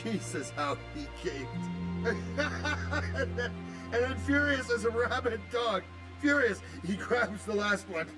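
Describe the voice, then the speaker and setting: An actor playing a coarse, jovial man in a video game cutscene, with a crude, mocking, drawn-out delivery. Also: very high-pitched, animated, exaggerated voice. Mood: gleeful mockery. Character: coarse, jovial man gloating over a botched execution. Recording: loud music behind.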